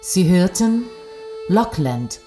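A woman announcer with a very low voice reads radio drama credits in a scripted style. Faint music plays underneath. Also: very animated, slow, dark tone.

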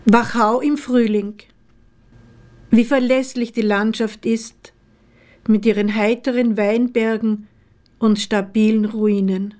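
A woman reading her own poems in a measured, deliberate way with pauses between phrases. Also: fairly high pitch, steady tone, darkish tone. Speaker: poet, author reading own work. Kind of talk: literary poetry reading.

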